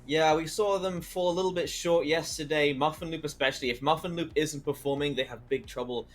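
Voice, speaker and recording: A man's voice: fairly high pitch, steady tone, fairly quick, soft background music. A male esports analyst giving confident, flowing panel commentary.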